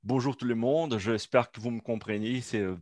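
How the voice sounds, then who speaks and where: A man's voice: medium pitch, normal speed, darkish tone, no echo. A man speaking French as a second language, giving a seminar talk over a video call, hesitant with many fillers and restarts. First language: not French. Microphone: computer mic on a video call.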